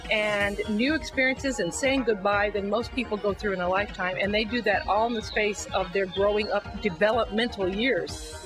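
A woman calmly explaining what third culture kids go through, in an interview for a ministry video. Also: medium pitch, moderate pace, poor audio. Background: music.

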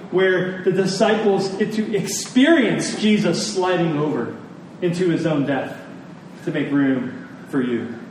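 Echoey, higher-voiced man preaching a sermon. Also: a little mellow. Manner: earnest, deliberate, repeats phrases for emphasis. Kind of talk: sermon to a congregation. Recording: very echoey room, distant mic, faint noise.

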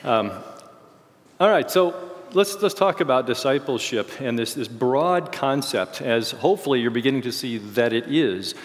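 A man giving an informal, explanatory Bible lesson in halting phrases, his voice a little worn from a cold. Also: medium pitch, expressive. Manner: halting, explanatory delivery with self-corrections. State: getting over a cold, voice slightly failing. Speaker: church teacher leading a series on discipleship. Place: speaking to a group in a large reverberant room. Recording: reverberant hall sound.

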